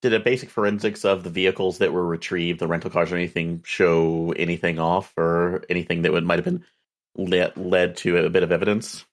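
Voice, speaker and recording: A man's voice: deep voice, level tone, fairly quick. A man casually asking questions as a player in a tabletop game.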